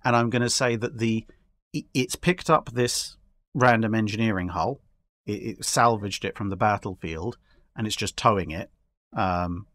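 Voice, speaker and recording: A man in a casual discussion, explaining his speculative ideas in a halting way with repeated words. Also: fairly low pitch, fairly bright tone. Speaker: podcast guest.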